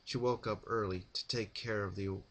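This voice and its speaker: A man narrating a story as a dramatic reading, in deliberate, halting phrases. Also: lowish voice, fairly even intonation, fairly bright tone. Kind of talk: dramatic fan fiction reading.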